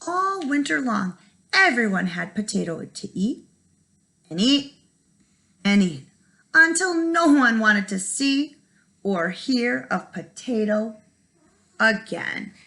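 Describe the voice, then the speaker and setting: A woman reads a storybook aloud like a teacher to young children. Her voice sits fairly high and swings widely in pitch, with frequent creak at the edges. She leaves dramatic pauses for effect. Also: big pitch swings, pushed and bright. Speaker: first grade teacher.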